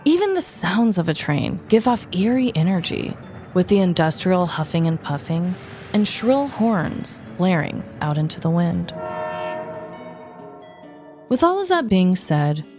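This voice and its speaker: A woman co-hosting a podcast with an expressive, dramatic way of talking, both bantering and telling stories. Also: lowish voice, a little slow, soft background music. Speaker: podcast co-host. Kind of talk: casual banter and scripted comic storytelling.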